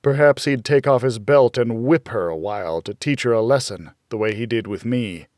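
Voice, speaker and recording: A man reading first-person fiction as an audiobook narrator, in a measured, dramatic storytelling manner. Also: mid-range voice, a little mellow, no room sound, clean studio sound.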